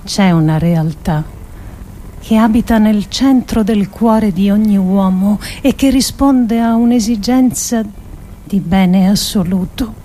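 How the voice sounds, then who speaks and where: An actress performing a theatrical monologue as a grave, idealistic political thinker, with deliberate, emphatic phrasing and dramatic pauses. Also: female voice, mid-range voice, warm tone, a little hiss or hum, lightly acted. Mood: solemn, hopeful. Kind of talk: theatrical scripted monologue adapted from philosophical essays.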